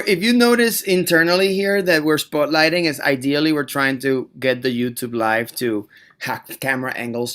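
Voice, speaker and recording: A man's voice: mid-range voice, fairly bright tone, smooth. A man from Rico, moderating a panel on a video call and sharing personal stories. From Puerto Rico.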